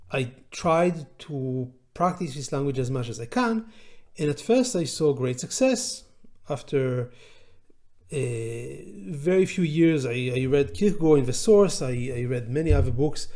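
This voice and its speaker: Middle-aged prof, hebrew native, slow thoughtful lecture talk, kinda warm soft voice, lots of uhs. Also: male voice, mid-range voice. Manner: deliberate and measured, with pauses and filler sounds. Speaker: law professor hosting an educational channel. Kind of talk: reflective educational monologue.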